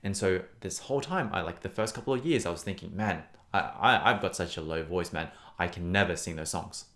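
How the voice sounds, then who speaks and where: Young man, voice teacher, low voice, earnest and encouraging, repeats words for emphasis, casual to-camera teaching. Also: fairly quick, sharp tone. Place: Australia.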